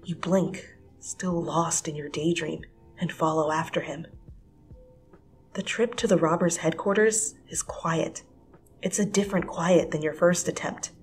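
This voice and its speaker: Expressive woman reading a story. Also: low voice, unhurried, faint music behind. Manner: expressive, swinging from hushed whispers to breathless excited rises. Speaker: fanfiction reader. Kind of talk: dramatic second-person story reading.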